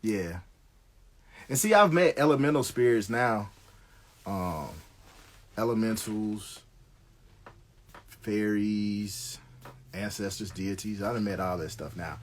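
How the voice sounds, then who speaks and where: A man's voice: fairly low pitch, slow, pauses often. A middle-aged American man telling stories casually on a live stream, slangy and conversational, swearing freely.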